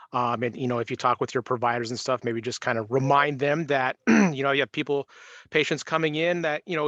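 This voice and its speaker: Guy with a bright forceful voice, dry sound, casually giving a work update. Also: medium pitch, no echo. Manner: conversational, loosely phrased. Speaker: public health surveillance presenter. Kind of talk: informal meeting update.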